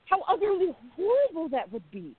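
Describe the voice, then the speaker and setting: A woman playing a jealous, besotted young woman confiding in her diary in a radio play, intimate, expressive and musing. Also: very high voice, fairly quick, no echo, heavily acted. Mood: wistful, jealous. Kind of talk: dramatic first-person diary narration in a radio play. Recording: narrow phone-like lo-fi sound.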